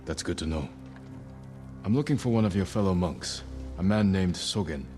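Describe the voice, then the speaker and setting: A man playing an honourable samurai lord in a video game, restrained and earnest, speaking in a measured, formal way. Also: sits low, animated, dark tone, soft background music. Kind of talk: cinematic video game acting.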